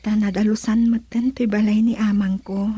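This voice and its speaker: An actress playing a soft, eerily vague young woman in an Ilocano comedy radio drama, with a Filipino accent and an unhurried, hesitant delivery. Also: medium pitch, little pitch movement, slightly rough, no room sound, close to an everyday voice. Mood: vague, calm.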